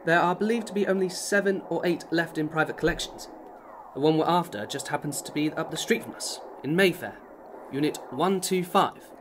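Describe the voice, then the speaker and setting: A curt, commanding heist boss played by an actor lays out a job in clipped, deliberate phrases. The voice is mid-pitched, in a radio drama with clear background noise. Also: natural voice. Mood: businesslike. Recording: noisy recording with background ambience.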